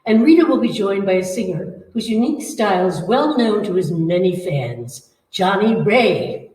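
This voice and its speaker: A woman's voice: medium pitch, big pitch swings, moderate pace, darkish tone. A woman reading a scripted old-time radio promotional announcement. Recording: echoey room, distant mic.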